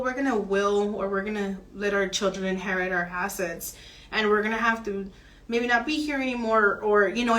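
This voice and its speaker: A woman mortgage broker explaining home financing options in an earnest, steady advisory chat. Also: mid-range voice.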